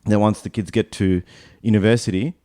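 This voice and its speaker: A very deep-voiced man in his thirties, born in Bangladesh, chatting as a podcast guest. His tone is warm and smooth, and he thinks aloud, circling round his point and correcting himself as he goes. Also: fairly even intonation, fairly quick, no echo, studio quality. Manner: thoughtful, meandering, self-correcting. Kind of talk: casual conversation.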